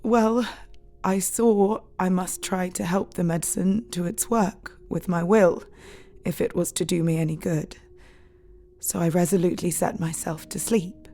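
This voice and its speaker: An actress playing a brave but distressed young Victorian wife, speaking solemnly and tenderly in an audio drama. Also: female voice, on the low side, fairly even intonation, a little slow, warm tone. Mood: grave recounting. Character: brave, distressed gentle young married Victorian woman, Mina.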